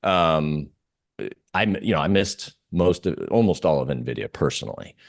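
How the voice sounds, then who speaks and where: A man giving casual market commentary as an interview guest, explaining in halting phrases with frequent restarts. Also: rumbling low, expressive, dry recording, clean studio sound.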